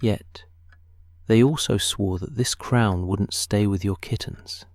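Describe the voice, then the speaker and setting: A man playing a brooding, suspicious cat nobleman in a theatrical Shakespearean audio drama, measured and deliberate with dramatic pauses. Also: fairly low pitch, no room sound, studio quality. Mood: musing, hopeful.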